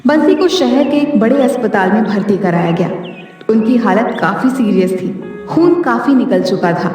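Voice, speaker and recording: A woman's voice: on the high side, animated, moderate pace. A woman narrating a scripted story for a cartoon. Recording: hall-like echo, distant, faint music.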